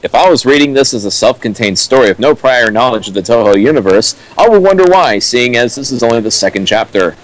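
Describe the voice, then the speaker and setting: A man's voice: medium pitch, lively intonation, fast, hardly any pauses, poor audio. Young man trading dry quips. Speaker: co-riffer. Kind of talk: casual comedic commentary.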